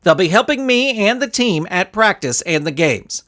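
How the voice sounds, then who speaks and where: A man voicing an awkward, well-meaning children's soccer coach with a halting, flustered way of talking in a storybook. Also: high voice, big pitch swings, bright, forceful tone, pitched above the performer's own voice, heavily acted. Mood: awkward, polite.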